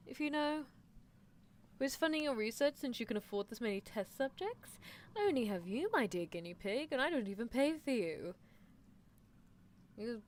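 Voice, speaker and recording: A woman's voice: fairly high pitch, darkish tone, lightly acted. A woman voicing a playful mad-scientist horse girl who teases and dotes on a test subject in live roleplay ASMR. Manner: teasing, theatrical, doting.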